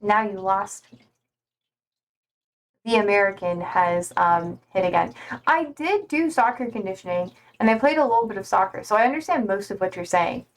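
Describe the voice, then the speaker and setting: A woman's voice: medium pitch, animated, normal speed. A young woman streaming live, chatting casually and reading out viewer comments in a slangy, rambling way full of "like".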